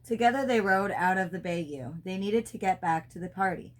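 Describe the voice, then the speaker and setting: A woman reads a children's story aloud in a medium-pitched voice. She narrates expressively, like a mother sharing a book with her child. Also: moderate pace.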